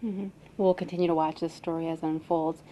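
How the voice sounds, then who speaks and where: American female news host and interviewer, lower-pitched voice, webcast delivery. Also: fairly even intonation, fairly quick, dark tone, a little hiss or hum, poor audio. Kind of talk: news interview with scripted intro. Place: newsroom.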